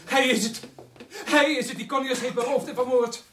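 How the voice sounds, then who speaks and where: Condemned male prisoner, desperate and pleading at an extremely high pitch, radio drama, echoey with audible noise. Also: steady tone, normal speed, a bit bright. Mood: frantic. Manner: frantic, pleading. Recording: echoey, noisy old radio recording.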